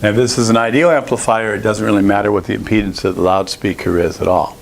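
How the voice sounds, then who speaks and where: A man presenting an informal technical lesson, explaining step by step in a halting, explanatory way. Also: fairly low pitch, fairly even intonation, a little hiss or hum. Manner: explanatory, halting, with frequent throat clearing. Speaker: presenter of an audio engineering lesson.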